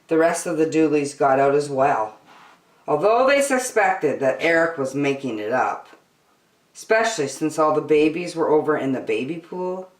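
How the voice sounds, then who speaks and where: A woman reading a children's picture book aloud as host, deliberately phrase by phrase, in an echoey room. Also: low voice. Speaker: storytime host and reader. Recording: roomy echo.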